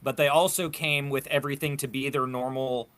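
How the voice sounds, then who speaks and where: A man talking casually as a panelist in a fan discussion, halting and repeating words as he works through a thought. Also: mid-range voice, steady tone, a bit bright.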